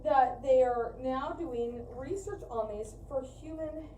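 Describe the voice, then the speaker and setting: A woman's voice: high-pitched, takes it slowly, mellow. A woman chatting casually and informally as she explains things to livestream viewers. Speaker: co-host of a fiber arts livestream. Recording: roomy echo, distant mic, faint noise.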